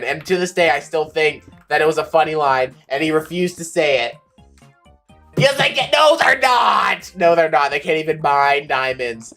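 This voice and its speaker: A young streamer with a slightly raspy voice, chatting casually and ranting animatedly, with playful insults. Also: medium pitch, a bit bright. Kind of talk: casual rambling chat while streaming.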